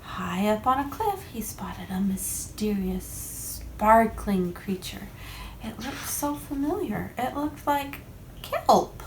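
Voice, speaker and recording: A woman's voice: on the high side, animated, takes it slowly. A woman reading a children's picture book aloud expressively, like a parent to a child. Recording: echoey room, faint background noise.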